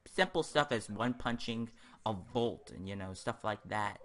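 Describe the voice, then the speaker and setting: Guy doing a chill lets play, kinda low but bright punchy voice, rambles w lots of opinions. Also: unhurried. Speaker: host of a gaming video series. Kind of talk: casual let's-play commentary.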